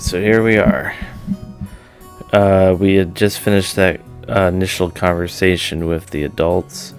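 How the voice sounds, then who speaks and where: A man hosting a visual novel read-aloud, moving between informal chat and story narration. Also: low-pitched.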